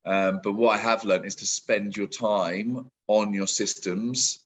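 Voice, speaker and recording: A man in his forties, a business coach, answering interview questions in a relaxed, rambling way with many restarts over a video call. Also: lowish voice, unhurried, slightly rough. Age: 40s.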